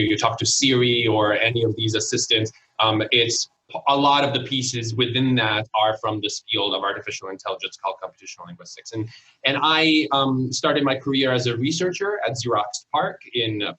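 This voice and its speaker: A man's voice: medium pitch, pushed and bright, low-quality recording. A man from Italy whose first language is Italian, speaking English with an Italian accent as a guest in a casual interview, thoughtful and hesitant with many fillers. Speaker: guest entrepreneur.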